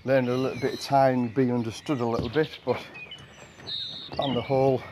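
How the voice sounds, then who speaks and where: An older man with an English accent chatting casually while washing his car, in a monotone voice and a rambling storytelling manner. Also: mid-range voice, fairly quick, background noise. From England. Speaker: vlogger. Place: outdoor carport of a house. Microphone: camera mic from a few meters away. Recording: outdoor camera audio with birdsong. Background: birds and rural outdoor ambience.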